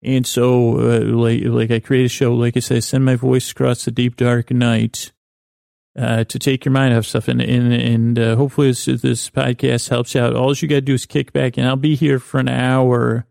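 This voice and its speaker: A man hosting a bedtime podcast, improvising in a halting, meandering monologue that trails off and repeats words, with a gravelly voice. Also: medium pitch, little pitch movement, darkish tone, no echo, clean studio sound. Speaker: podcast host.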